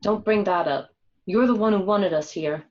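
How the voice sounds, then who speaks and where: Young married woman, played by an actress, candid, conversational, defensive, mid-pitched, radio play, dull lo-fi sound. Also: moderate pace, a little mellow, natural voice. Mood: exasperated, defensive. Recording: dull, lo-fi home recording.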